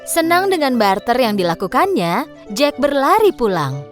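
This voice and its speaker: Children's fairy-tale narrator: female, lively swooping intonation, fairly high, faint music behind. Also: normal speed.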